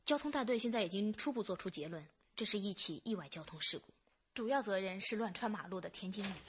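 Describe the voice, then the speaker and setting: An actress playing a shrewd, businesslike female detective who reasons deliberately in a radio drama. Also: medium pitch, lively intonation, lo-fi sound, a little hiss or hum, natural voice. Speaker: actor in a police radio drama. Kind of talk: Chinese radio drama acting.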